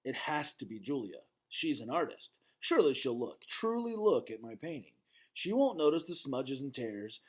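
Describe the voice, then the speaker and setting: A man's voice: medium pitch, darkish tone, slightly rough. A man voicing an anxious, earnest gorilla with hopes for his painting, in a children's novel read aloud.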